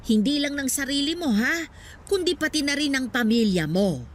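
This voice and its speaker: A woman's voice: sits high, animated, normal speed, a little hiss or hum. An actress playing an elderly mother in a Tagalog radio drama, reminiscing and advising, poor but contented and loving. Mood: earnest advising. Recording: music behind the voice. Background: background music.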